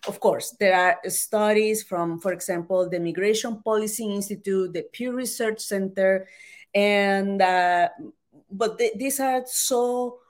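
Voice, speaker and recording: Casting: Salvadoran-accented woman, Spanish native, hesitant interview guest, mid pitch. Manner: halting, many restarts and fillers. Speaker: author and journalist guest.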